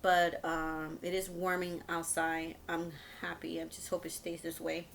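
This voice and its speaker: A woman's voice: on the low side, monotone, unhurried, vocal fry, fairly bright tone, slight background noise. A woman in her fifties chatting casually to her viewers as a video host, in a rambling, hesitant, informal way. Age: 50s. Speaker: video host of a home and shopping channel.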